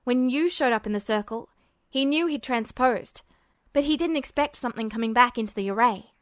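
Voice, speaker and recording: A woman voicing a sharp, sarcastic teenage boy alchemist who explains things flatly in a story reading. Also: a little high, dry recording, studio quality, lightly acted. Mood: explaining. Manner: flat, sardonic, quick to explain.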